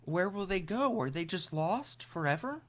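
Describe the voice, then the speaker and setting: A game master voicing an excitable, worried female companion who asks urgent questions in a sci-fi tabletop game. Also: normal speed, lightly acted. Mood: worried, pleading. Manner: questioning, urgent, higher than the narrator.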